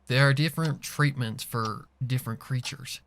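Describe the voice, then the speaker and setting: A man's voice: mid-range voice, moderate pace, a bit bright, a little hiss or hum, close to an everyday voice. A man voicing a plain-spoken, folksy female farm pony with a grumbling manner. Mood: patient, correcting.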